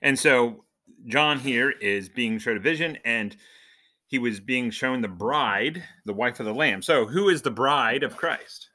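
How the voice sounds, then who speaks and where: Bright, forceful man teaching the Bible informally. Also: medium pitch, a little slow. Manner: conversational, explanatory, asks questions and answers them. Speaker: podcast host giving Bible teaching. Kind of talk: informal Bible lesson with scripture reading.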